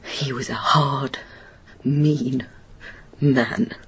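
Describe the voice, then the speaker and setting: A woman playing a guilt-ridden, stubborn grown daughter in a radio drama, speaking in a halting, anguished, bitter confession that often drops to a murmur. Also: extremely low pitch, steady tone, very noisy, full character voice. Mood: bitter, resentful.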